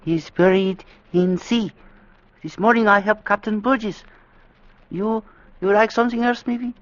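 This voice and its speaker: A vintage radio drama with a muffled sound. a ship's cook, a devout and fatalistic man with a high-pitched voice, deferential and speaking in halting broken English. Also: steady tone. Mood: uneasy, matter-of-fact. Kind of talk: 1940s-50s radio drama acting.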